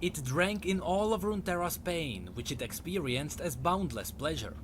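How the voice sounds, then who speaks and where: A man's voice: fairly high pitch, animated, moderate pace, noisy background. A man reading scripted fantasy lore narration in a dramatic storytelling way. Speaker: narrator of a game lore video.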